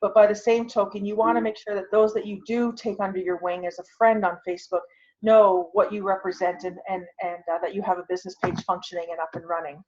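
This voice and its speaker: A woman's voice: medium pitch, little pitch movement, fast talker, darkish tone. A woman giving an informal online tutorial, talking conversationally and thinking aloud as she demonstrates over a video call. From Canada. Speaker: online class instructor. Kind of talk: informal tutorial with screen sharing. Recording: muffled, lo-fi video call audio.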